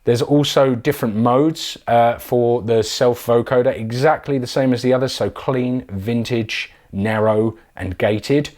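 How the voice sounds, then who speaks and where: A man's voice: medium pitch, measured pace, hardly any pauses, a little mellow. A man presenting a casual product demo tutorial in an informal, enthusiastic way. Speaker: synth demonstrator, presenter. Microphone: microphone.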